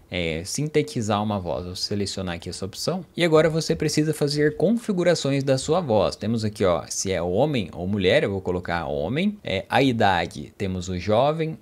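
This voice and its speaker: A man in his thirties casually walking viewers through a software tool, enthusiastic and explaining step by step, speaking into a studio mic at home. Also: on the low side. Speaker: tech YouTuber. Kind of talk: casual screen-recording tutorial. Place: home studio with gaming chair. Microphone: studio mic on stand.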